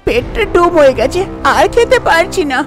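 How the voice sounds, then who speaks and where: A young daughter-in-law from a cartoon folk tale, voiced by a woman, whining and protesting in a very high, swooping voice. She sounds reluctant and plaintive, over a music bed. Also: sing-song, normal speed. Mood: whiny, protesting.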